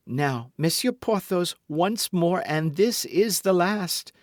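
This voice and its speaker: A man's voice: sits high, expressive, takes it slowly, dry recording, clean studio sound, voice pushed up. A man reading an audiobook, voicing a proud, anxious lawyer's wife in a raised, pleading voice. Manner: piqued, pleading.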